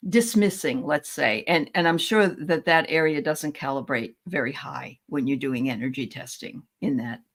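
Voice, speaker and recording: A woman from New Hampshire hosts a relaxed chat in a lowish voice. She speaks with friendly hesitations and frequent fillers, pausing to find her words. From New Hampshire, USA. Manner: friendly, halting, many fillers. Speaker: host. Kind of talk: casual interview chat.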